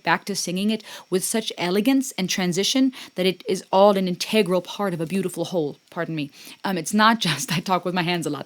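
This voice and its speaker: A middle-aged woman hosting a music reaction, talking casually and warmly, often pausing with ums to search for words. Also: lowish voice. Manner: warm, gushing, searching for words with many ums.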